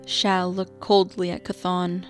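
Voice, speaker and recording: A woman's voice: fairly low pitch, monotone, a little slow. A woman narrating a scripted radio drama, with music playing under her voice. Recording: loud music bed under voice.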